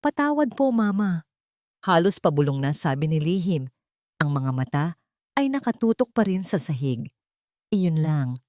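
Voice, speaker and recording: A woman's voice: low voice, animated, moderate pace, long pauses, darkish tone, no room sound, clean studio sound. A woman storyteller reading a scripted, dramatic tale as narration. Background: music.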